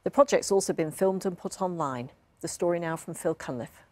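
A woman's voice: on the low side, fairly quick, a little mellow, no echo. A middle-aged woman presenting scripted news in a television studio.

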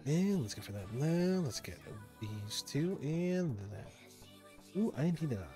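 A young man talks through his game session on a live stream in a loose, rambling way, stumbling over his words and swearing now and then. His pitch leaps up and drops back constantly. Soft music plays quietly behind him. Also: expressive, takes it slowly. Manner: rambling, stop-start, swears under his breath. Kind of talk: casual live-stream chat while gaming.

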